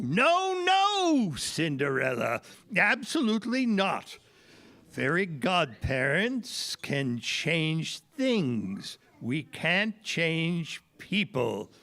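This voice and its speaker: A man's voice: on the high side, very animated, extremely slow, a bit bright, heavily acted. A man playing a fussy, exasperated male fairy godparent in a children's audio play, huffy and theatrical. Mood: huffy, emphatic.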